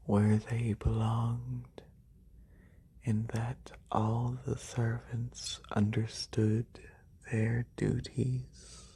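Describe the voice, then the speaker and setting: A performer plays a wealthy, haughty lamia noble in a soft-spoken ASMR roleplay. The voice is very breathy and often whispery, with a creaky edge. Every phrase comes extremely slowly and condescendingly, close to a binaural microphone. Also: medium pitch, exaggerated voice. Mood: languid, commanding.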